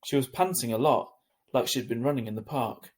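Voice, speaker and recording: A man reading a children's novel aloud as a teacher would, in expressive scripted narration. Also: medium pitch, a little fast.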